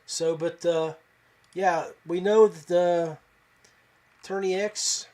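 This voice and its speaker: A man from West Virginia hosting a fishing podcast in a casual, folksy, halting way. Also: high voice, fairly even intonation, a little mellow. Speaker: podcast host.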